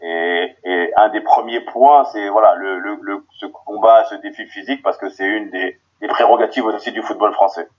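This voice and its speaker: A man from Paris, a guest on a football podcast, answering in a halting way with many restarts, heard over a remote call. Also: fairly low pitch, steady tone, dull audio. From Paris, France.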